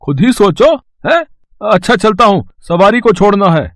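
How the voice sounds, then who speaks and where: Brusque rickshaw rival for cartoon tale, male performer; high voice, scoffing, dismissive, no room echo. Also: expressive, normal speed, clean studio sound. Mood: mocking. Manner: scoffing, blunt. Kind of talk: cartoon moral story acting.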